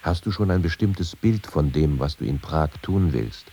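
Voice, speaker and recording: Low, dark-voiced, wry émigré writer. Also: male voice, animated, normal speed, a little hiss or hum. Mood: sober, cautioning. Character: sober male émigré writer, wry and candid. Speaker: actor reading quoted memoirs and letters. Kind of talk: radio feature literary reading.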